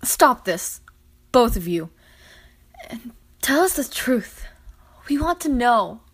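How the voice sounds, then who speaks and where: A woman plays a tender teenage girl in an audio drama. Her voice is slightly high and very breathy, often close to a whisper, and she takes her words slowly and earnestly. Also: sing-song, heavily acted. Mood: pleading. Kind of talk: fan audio drama acting.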